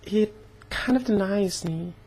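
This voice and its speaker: A young woman talking casually in a personal vlog, slightly hoarse, with an earnest, halting way of speaking. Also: mid-range voice, darkish tone. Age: young adult. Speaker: vlogger.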